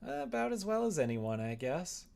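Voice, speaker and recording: Male actor as easygoing young visual-novel senpai girl, high lilting pitch, kind and sheepish. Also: sing-song, moderate pace, gravelly, darkish tone, heavily acted. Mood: resigned.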